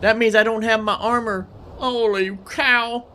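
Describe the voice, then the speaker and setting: A man's voice: sits high, fairly even intonation, a little slow, sharp tone, a little hiss or hum. A man giving casual live gameplay commentary as a streamer, rambling and thinking aloud. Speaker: game streamer, host. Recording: game music behind the voice. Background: game music.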